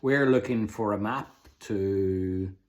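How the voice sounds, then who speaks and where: A man voicing a menacing, grizzled male pirate captain who growls slow, loud threats. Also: lowish voice.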